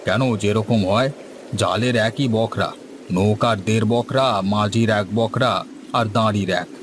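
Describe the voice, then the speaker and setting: Man playing older fisherman, resigned, rustic cartoon speech, slightly low pitch, faint background noise. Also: steady tone, measured pace, close to an everyday voice. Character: weary, resigned older village boatman fisherman. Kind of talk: Bengali cartoon story dialogue.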